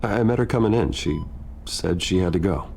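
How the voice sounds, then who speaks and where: A brooding, earnest young rich man in a story game. His voice is deep and gravelly with heavy fry, gentle and a bit hesitant. Faint noise sits behind him. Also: a little fast. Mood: sympathetic, awkward. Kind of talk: dramatic video game voice acting.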